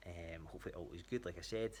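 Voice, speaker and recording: Scottish male, low voice, informal and halting check-in style, noisy recording. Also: darkish tone. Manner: hesitant, rambling. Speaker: athlete giving a training check-in to a coach. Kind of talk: casual spoken update.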